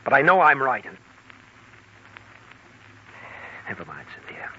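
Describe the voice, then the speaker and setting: A man's voice: mid-range voice, big pitch swings, fast, breathy edge. An actor playing a wronged, earnest and embittered man in a 1940s radio drama, urgent and clipped. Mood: bitter, resigned. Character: wronged, indignant man, earnest and increasingly bitter. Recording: muffled, hissy old radio recording.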